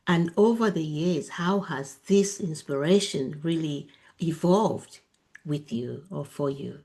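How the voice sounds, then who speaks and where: A woman's voice: low-pitched, slow pace, warm tone, husky. A woman hosting a podcast interview, with affirming interjections. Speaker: podcast host.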